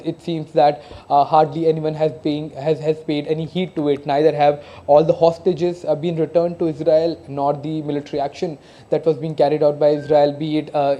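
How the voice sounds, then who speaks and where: A man with an Indian accent giving live analysis as a news correspondent, speaking haltingly and often repeating himself. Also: fairly high pitch, mellow. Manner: halting, hesitant delivery with frequent repeated words.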